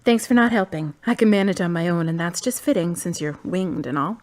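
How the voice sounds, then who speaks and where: A woman's voice: lowish voice, expressive, fairly quick, soft background music, close to an everyday voice. A woman playing a caring, blunt friend who asks direct questions and takes a grieving man into her home. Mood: teasing. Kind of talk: amateur drama acting.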